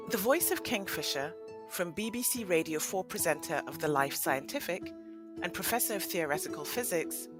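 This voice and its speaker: A woman narrating a scripted audio play in an expressive, dramatic storytelling manner. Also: deep voice.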